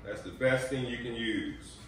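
A man's voice: medium pitch, unhurried, a bit bright. A man in his forties hosting a casual cooking demonstration in a home kitchen, chatty and coaching as he gives health tips. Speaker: cooking show host. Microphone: far camera mic. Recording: echoey kitchen from far off.

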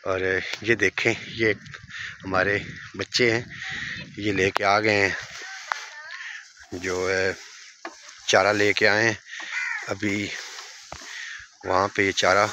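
A man's voice: fairly low pitch, noisy background. A man in his thirties with a Punjabi accent, vlogging from his village and interviewing a local on a phone held at arm's length. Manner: raises voice to address viewers, speaks softer while walking. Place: outdoors in a village, light rain. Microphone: phone held at arm's length, selfie style.

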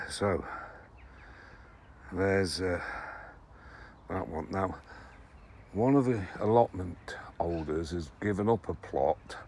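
Older english guy, sixties, chatty and rambly at the allotment, deep voice, bit of bird noise around. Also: creaky. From England. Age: 60s. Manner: rambling, self-correcting, chatty. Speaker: allotment gardening vlogger. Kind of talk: casual chat to camera. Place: allotment greenhouses and plots, partly outdoors.

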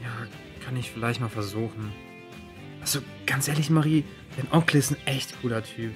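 A man's voice: mid-range voice, normal speed, music in the background, close to an everyday voice. A man playing an awkward, defensive teenage boy with a casual, slangy manner in a children's audio drama. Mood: sheepish.

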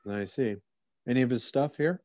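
A man's voice: mid-range voice, moderate pace, darkish tone, no room sound, clean studio sound, lightly acted. A man playing a courteous, inquisitive police officer who muses and probes politely, in old-time radio theater style. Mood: probing. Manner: polite, musing, probing.

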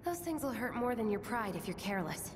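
Playful young rebel, lively pitch. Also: female voice, fairly quick, in a large hall, noisy background. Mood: playful, confident. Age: young adult. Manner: playful, teasing, breezy. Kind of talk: dramatic video game voice acting.

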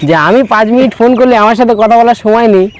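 Slangy high-voiced man, fast talker. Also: steady tone. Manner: slangy, blunt. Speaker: prank video host. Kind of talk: casual commentary to viewers. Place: open field outdoors.

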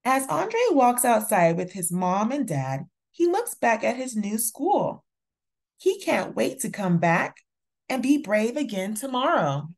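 A woman narrates a picture book for young children. Her pitch is on the high side and moves in animated swings, while her pace is very slow and deliberate. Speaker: children's story reader and channel host.

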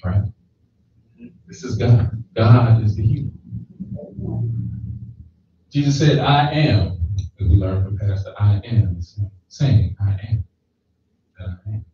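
A man's voice: on the low side, slow pace, pauses often, slight background noise. A man in his thirties giving a sermon in a small church hall, holding a handheld mic and often checking in with "right?". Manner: conversational preaching with frequent "right?" checks. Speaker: preacher. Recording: echoey, distant, slightly dull room recording.

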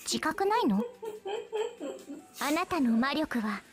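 High-pitched, teasing anime girl archer. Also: big pitch swings, slow, faint music behind, heavily acted. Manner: coy, teasing, theatrical. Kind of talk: Japanese anime game voice acting.

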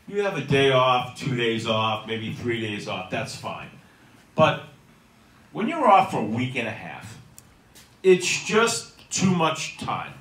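A man's voice: medium pitch, pushed and bright, far from the mic. A middle-aged man hosting a casual sports talk show, making his points with punchy emphasis into a handheld mic in a small restaurant. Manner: emphatic, punchy delivery with rising stresses. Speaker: host. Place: small restaurant dining room, seated at a table. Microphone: handheld microphone. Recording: echoey room, a bit off mic.

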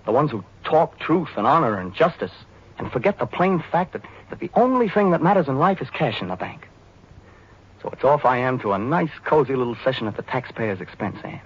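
A man's voice: mid-range voice, big pitch swings, fairly quick, breathy edge. An actor playing a cocky, self-serving young American serviceman in the style of a 1940s radio drama. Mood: bitter, scornful. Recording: muffled, noisy vintage 1940s radio.

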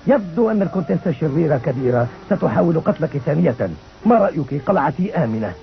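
Young fairy-tale prince, cartoon dub, earnest, quick, dull recording, music underneath. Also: male voice, on the high side, lively intonation, vocal fry. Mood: gentle. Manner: dramatic, earnest. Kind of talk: dubbed cartoon acting. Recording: muffled lo-fi dub with loud music.

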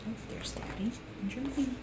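A woman's voice: fairly high pitch, normal speed. A middle-aged woman hosting a children's storytime, speaking to young listeners and their parents. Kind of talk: reading a picture book aloud to children. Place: small living room on a sofa, indoors. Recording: echoey room with faint hiss.

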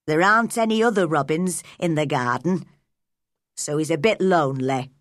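A woman voicing a gruff, blunt, lonely old male gardener in a storybook reading. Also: low-pitched, very slow, fairly bright tone, no echo, clean studio sound, pitched below the performer's own voice, exaggerated voice. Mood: fond. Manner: blunt, curt.

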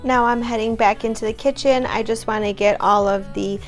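A woman's voice: a little high, fairly even intonation, normal speed, soft background music. A young adult woman narrating a home cleaning vlog in a casual, upbeat voice-over, talking straight to her viewers. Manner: upbeat, chatty, addresses viewers directly. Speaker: home vlogger.

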